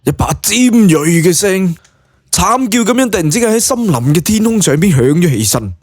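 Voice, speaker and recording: A male audiobook narrator with a Cantonese accent and a husky edge to his voice. He tells the story colloquially and dramatically, with lively swings of pitch. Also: moderate pace, dry recording, clean studio sound.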